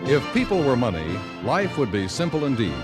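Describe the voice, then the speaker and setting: An American man reading scripted 1950s radio announcements with formal, deliberate enunciation. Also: mid-range voice, very animated. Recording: vintage radio broadcast with music.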